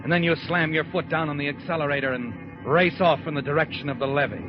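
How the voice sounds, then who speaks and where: A man's voice: fairly high pitch, fairly even intonation, quick pace, natural voice. Man as a sardonic, ominous radio mystery narrator. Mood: sardonic, brooding. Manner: dramatic, insinuating. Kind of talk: 1940s radio mystery narration, second person. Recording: lo-fi 1940s radio recording, music behind.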